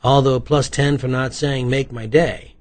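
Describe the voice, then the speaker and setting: A man's voice: mid-range voice, steady tone, measured pace, a little mellow, natural voice. A man voicing a teasing, theatrical wisecracker who tags along with police, in an audiobook.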